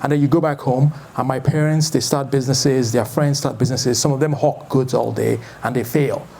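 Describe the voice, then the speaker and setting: A man in his thirties with a Nigerian accent, giving an informal, conversational talk as a founder introducing an event, in a loose, halting way. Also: medium pitch, steady tone, creaky, darkish tone, a little hiss or hum. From Lagos, Nigeria. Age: 30s. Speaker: startup founder and event host.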